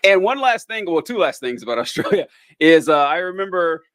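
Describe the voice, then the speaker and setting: A man hosting a casual podcast, his voice sitting a bit higher than most men's. He rambles and fills pauses with "uh," and swears offhandedly. The sound is dry and close, with almost no room in it. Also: sing-song, a bit bright, no echo. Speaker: podcast host. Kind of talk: casual chat.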